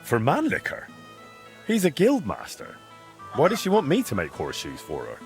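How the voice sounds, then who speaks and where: Young medieval blacksmith, earnest and polite, lively intonation, mid pitch, game dialogue. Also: male voice, normal speed, creaky, soft background music. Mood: mildly puzzled. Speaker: video game protagonist.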